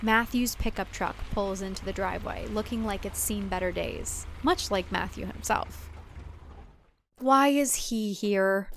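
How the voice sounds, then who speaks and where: A woman's voice: mid-range voice, steady tone, moderate pace, vocal fry, hardly any pauses, slight background noise. A woman reading scripted thriller fiction as a narrator.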